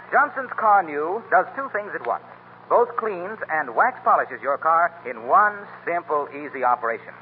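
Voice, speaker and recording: A man's voice: sits high, phone-quality sound, a little hiss or hum. An American man announcing in polished, formal 1930s radio style, like a sponsor's salesman, on an old broadcast recording.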